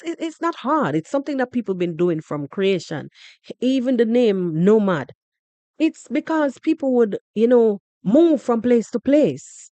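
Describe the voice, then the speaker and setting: A woman with a Jamaican accent hosting a podcast, commenting in an animated, repetitive way. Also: mid-range voice, dry recording. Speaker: podcast host. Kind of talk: casual commentary.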